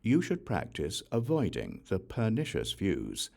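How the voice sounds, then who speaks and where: A man narrating a Buddhist scripture as an audiobook, reading in a measured, deliberate way. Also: deep voice, wildly swooping pitch, vocal fry, darkish tone.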